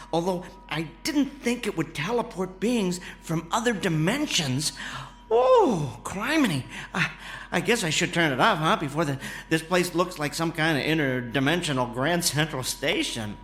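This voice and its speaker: A man playing an excitable, kindly amateur inventor in a radio drama, stammering and folksy. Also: high voice, unhurried, soft background music, exaggerated voice. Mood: amazed, triumphant. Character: excitable, kindly amateur inventor, male former teleportation engineer.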